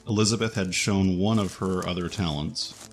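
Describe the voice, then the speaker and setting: A man's voice: low voice, fairly even intonation, normal speed, soft background music. A man reading scripted narration for a fantasy adventure story.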